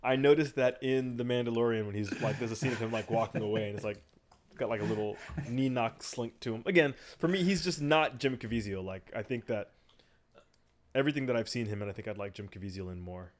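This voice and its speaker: A man's voice: medium pitch, a little fast. A man co-hosting a podcast in loose, conversational chat, enthusiastic about his favourites. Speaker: podcast co-host. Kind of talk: casual chat about movies.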